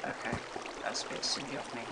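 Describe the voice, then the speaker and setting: A man's voice: fast, heavy background noise. A young man with a cold, sniffly and thinking aloud in casual chat as he plays a game. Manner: chatty, thinks aloud while playing, often quiet and murmured. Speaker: let's play host. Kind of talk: casual gameplay commentary. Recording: game music behind the voice. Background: game music.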